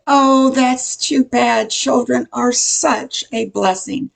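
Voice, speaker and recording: Older woman acting a nosy neighborhood gossip, high creaky voice, chatty, insinuating, radio comedy. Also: steady tone, slow, heavily acted, poor audio. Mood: chatty, judging. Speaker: amateur radio play performer.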